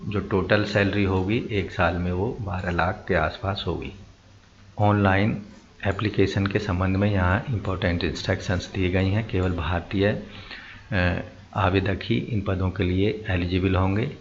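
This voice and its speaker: Low-voiced, slow-speaking Indian man. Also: steady tone. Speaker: presenter of job recruitment notices. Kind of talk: informational explainer, reading out notice details. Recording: muffled lo-fi audio with faint noise.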